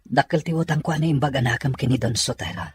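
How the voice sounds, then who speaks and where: A man's voice: mid-range voice, quick pace, breathy edge, no echo. A man acting an earnest young man in love trouble in a radio drama, speaking with restraint and a Filipino accent. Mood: troubled, earnest.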